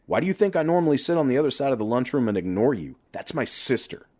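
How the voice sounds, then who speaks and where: A man's voice: medium pitch, big pitch swings, fast talker, a little mellow, pitched above the performer's own voice. A man voicing a brash middle-aged best friend who lectures and needles bluntly in an audiobook. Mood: exasperated. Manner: blunt, emphatic, sweary banter.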